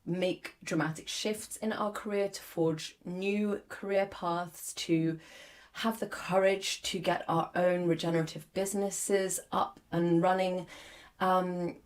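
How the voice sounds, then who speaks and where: A woman in her forties hosting a podcast, hoarse as her lost voice comes back, talking candidly and reflectively with many fillers. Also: on the low side, steady tone, slow. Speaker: podcast host. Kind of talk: solo podcast monologue.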